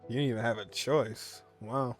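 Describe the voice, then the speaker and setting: Easygoing male player commentating with jokes, average pitch, soft game music under him. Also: steady tone, moderate pace. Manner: casual, joking reactions with laughs. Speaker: gaming streamer. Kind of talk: casual commentary while playing.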